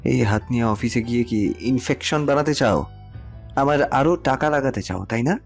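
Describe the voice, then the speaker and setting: A man's voice: medium pitch, normal speed, gravelly, natural voice. A man playing a cold, domineering rich man who bullies his mistress, speaking in curt commands in a romantic audio story. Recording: music under the voice.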